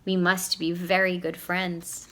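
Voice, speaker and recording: A woman's voice: fairly low pitch, monotone, slow pace, a bit bright. A woman voicing a silly, earnest, sentimental male owl from a children's storybook, with dramatic delivery. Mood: friendly, earnest.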